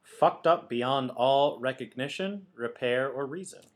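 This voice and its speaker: A man co-hosting a podcast in casual comedic banter, playful and quick to interject. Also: mid-range voice. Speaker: podcast co-host.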